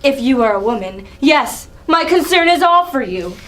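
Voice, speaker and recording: A woman's voice: high-pitched, big pitch swings, moderate pace, a bit bright. A woman as a defiant young prince. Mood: accusing. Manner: defiant, cutting. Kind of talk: dramatic reading of Greek tragedy.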